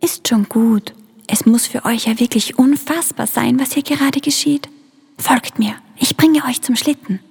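A child acting a gentle, worried Christmas spirit with a breathy, half-whispered voice in a school radio play. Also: medium pitch, a little slow, full character voice. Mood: kindly.

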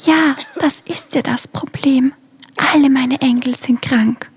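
A child acting a gentle, worried Christmas spirit with a breathy, half-whispered voice in a school radio play. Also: sits high, fairly even intonation, measured pace, heavily acted.

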